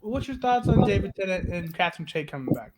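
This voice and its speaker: A man's voice: high voice, big pitch swings, quick pace, heavy background noise. A man hosting a casual panel chat among fans, recorded on a group call. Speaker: host of a fan review show.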